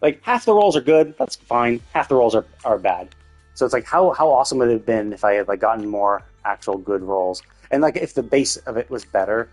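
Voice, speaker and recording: A man's voice: medium pitch, expressive, a little fast, faint music behind. A man chatting casually on a gaming livestream in a rambling, conversational way, with loose, casually profane talk. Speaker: live streamer.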